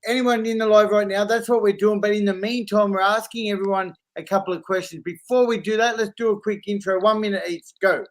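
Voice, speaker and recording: A man's voice: high voice, steady tone, fairly quick, hardly any pauses. A man hosting a live online launch, introducing guests in a casual, upbeat way with plenty of fillers over a video call. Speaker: host. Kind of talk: live stream chat.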